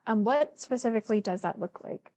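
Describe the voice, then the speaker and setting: A woman's voice: fairly high pitch, expressive, a little fast, dark tone. A woman moderating a conversational interview, affirming and recapping answers before putting her questions.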